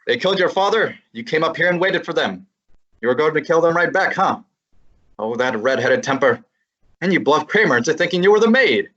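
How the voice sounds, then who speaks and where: A man's voice: on the high side, animated, a little fast, fairly bright tone, highs cut off. A man playing a wisecracking young male detective assistant in a student radio drama, breezy and matter-of-fact. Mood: wry. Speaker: student actor.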